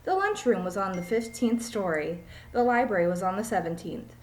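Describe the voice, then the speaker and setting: A woman's voice: mid-range voice, expressive, normal speed. A woman reads a children's storybook aloud like a teacher. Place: small home room, indoors. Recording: echoey room, webcam mic.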